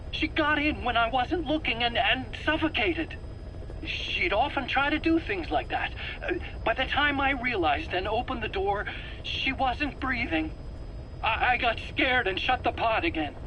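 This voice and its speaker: Nervous, sharp-voiced scientist under interrogation. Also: male voice, extremely high pitch, expressive, a little slow, full character voice. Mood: panicked, desperate. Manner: stammering, defensive, earnest. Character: nervous, self-justifying male scientist under interrogation, pleading. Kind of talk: video game voice acting. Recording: noisy cassette tape interrogation recording.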